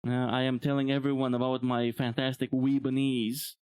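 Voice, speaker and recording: A man's voice: mid-range voice, moderate pace, clear, resonant tone, dry recording. Young guy from bulgaria rambling on stream, chuckles a lot, dry close mic, medium voice. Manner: relaxed, rambling, chuckles often. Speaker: VTuber streamer. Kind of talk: casual live-stream chat.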